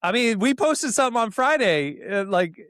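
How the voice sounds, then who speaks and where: A man hosting a podcast, reading listener questions in a relaxed, chatty, joking way. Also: very high-pitched, moderate pace, clean tone, no room sound, studio quality. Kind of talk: casual chat.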